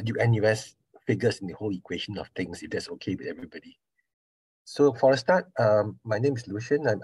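A middle-aged man with a Singaporean accent, a surgery professor giving an online talk in a measured, explanatory way with frequent pauses. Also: on the low side, a little mellow, breathy edge, no echo, low-quality recording. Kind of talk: online information session talk. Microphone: video call.

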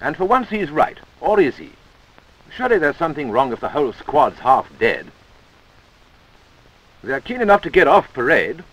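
A British man narrates a 1940s army instructional film in a fairly high voice that rises and falls with great animation. His scripted commentary is wry and chummy, heard through an old film soundtrack. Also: very animated, moderate pace, long pauses, fairly bright tone, low-quality recording.

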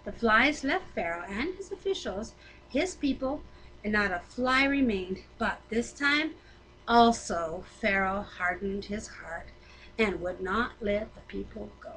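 A woman's voice: medium pitch, expressive, a little slow, fairly bright tone. A woman co-hosting a Bible reading, reading aloud and chatting casually about it. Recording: noisy amateur home recording.

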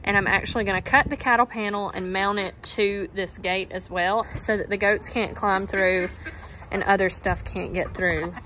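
A woman's voice: mid-range voice, steady tone, moderate pace, bright, forceful tone, slight background noise, rough recording. A woman, chatting upbeat to a handheld phone as she works around her farm and barn. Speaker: homestead vlogger. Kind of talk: casual vlog talking to camera. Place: outdoors on a farm and inside a barn. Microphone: handheld phone at arm's length.

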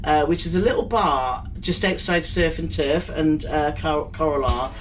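A woman's voice: low voice, moderate pace, far from the mic, over music, rough recording. A woman in her fifties co-hosting a travel vlog, chatting enthusiastically about a cruise from their cabin. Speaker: travel vlogger co-host. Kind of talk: casual chat.

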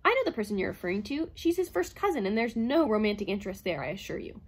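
A woman doing a gentle pleading male robot. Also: on the high side, big pitch swings, normal speed, slightly rough, voice pushed up, heavily acted. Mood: reassuring. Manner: soft, pleading, reassuring. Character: earnest gentle male mind-reading robot. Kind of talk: audiobook character reading.

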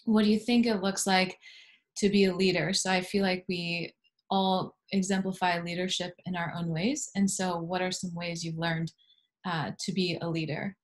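A woman's voice: fairly low pitch, little pitch movement, normal speed. A woman moderating a panel on a video call, encouraging and inviting answers.

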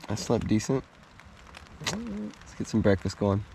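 A man's voice: fairly low pitch, sing-song, unhurried, gravelly, very noisy. An American man in his thirties hosting a camping vlog, talking to a handheld camera outdoors and laughing often. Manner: upbeat, laughs often, talks straight to camera. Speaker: outdoor vlogger host. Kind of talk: casual camping vlog chat. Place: outdoors in a rainy forest camp. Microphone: handheld camera held close.

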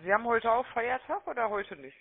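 A woman making a phone sales pitch, chatty and persuasive, often checking for agreement, heard over a telephone line. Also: expressive, a little fast, gravelly, a crowd in the background. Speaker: telemarketing caller. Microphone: telephone call.

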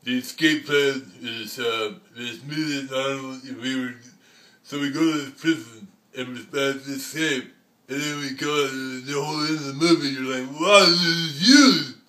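A man impersonating an inarticulate tough-guy action star, talking in a halting, muddled way. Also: medium pitch, pushed and bright, natural voice. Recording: roomy home recording.

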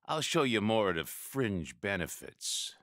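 An actor playing a cruel, gloating mob enforcer in a radio drama, menacing and taunting. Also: male voice, on the low side, big pitch swings, unhurried, over music. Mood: cold.

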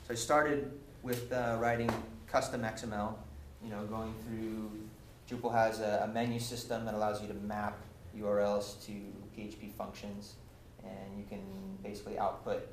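Young male presenter, lowish voice, loose explanatory delivery full of fillers; roomy lecture hall, light hiss. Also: flat delivery, moderate pace, hardly any pauses. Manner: casual, explanatory, many fillers and restarts. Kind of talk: technical meetup talk. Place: lecture room with projector. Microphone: far camera. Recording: echoey room, faint hiss, from camera.

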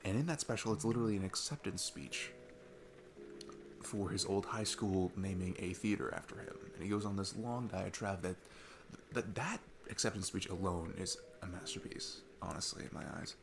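A man playing a sweet, chatty dad on a date in an ASMR roleplay, rambling enthusiastically with halting restarts. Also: low voice, fairly even intonation, background noise. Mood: earnest, admiring. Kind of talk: ASMR audio roleplay, casual rambling talk.

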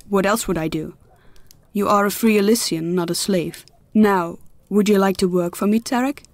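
A woman's voice: mid-range voice, moderate pace, close to an everyday voice. An actress playing a gracious, self-assured noblewoman in a fantasy audio drama, courteous and frank. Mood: amused, kind. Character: gracious, self-assured highborn lady seeking a ward.

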